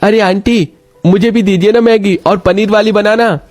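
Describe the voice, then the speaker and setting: A man's voice: high-pitched, fairly even intonation, normal speed, a little mellow, no echo, pitched above the performer's own voice, lightly acted. A man voicing a delighted, eager young customer at a food stall in a cartoon story.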